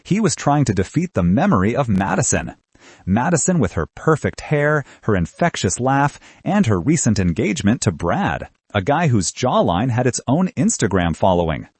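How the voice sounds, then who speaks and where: A man reading a scripted novel aloud in a wry, animated narrator's style. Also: mid-range voice, moderate pace, breathy edge, dry recording. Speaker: audiobook narrator.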